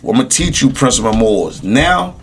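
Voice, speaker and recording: A man's voice: mid-range voice, big pitch swings, brisk. A man chatting casually on a podcast, informal and emphatic with profane street slang. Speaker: podcast speaker.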